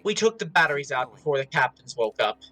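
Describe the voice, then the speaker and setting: A man's voice: on the high side, very animated, fast, sharp tone, pitched below the performer's own voice, full character voice. A man voicing a haughty, indignant female pony princess in a cartoon fan story.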